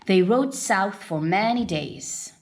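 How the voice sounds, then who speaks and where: A woman reading a children's picture book aloud as a storyteller, with expressive, dramatic delivery. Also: medium pitch, slow pace.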